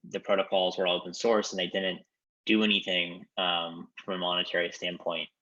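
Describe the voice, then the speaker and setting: A man with a very low, flat voice that still sounds bright and forceful. He explains at a measured pace, filling pauses with ums. The sound is dull and lo-fi, as on a conference call line. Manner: measured, explanatory, frequent ums and you-knows.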